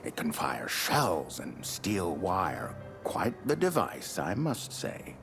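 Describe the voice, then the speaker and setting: A deep-voiced, condescending consul general for a dramatic game scene. He is blunt and formal, and his unhurried speech swings expressively over a music bed. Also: wildly swooping pitch, fairly bright tone, heavily acted. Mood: measured, formal. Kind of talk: dramatic video game cutscene acting.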